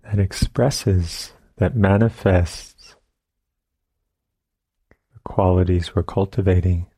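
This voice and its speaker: Very soft-toned, slow man guiding meditation. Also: low voice, fairly even intonation, no echo. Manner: gentle, unhurried, long pauses between short phrases. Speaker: meditation teacher. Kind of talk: guided meditation. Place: retreat center meditation session.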